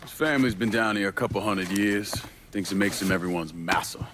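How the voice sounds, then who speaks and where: An actor playing a stern young crime boss in a game, firm and profane. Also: male voice, on the low side, brisk, fairly bright tone, a little hiss or hum, lightly acted. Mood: grim, matter-of-fact.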